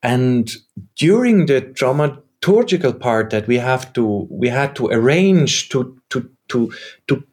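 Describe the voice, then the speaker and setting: A man's voice: medium pitch, lively intonation, slow pace, a little mellow, slightly rough. A man whose first language is German, answering interview questions in a searching, reflective way as a theater maker. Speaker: theater maker and game co-creator.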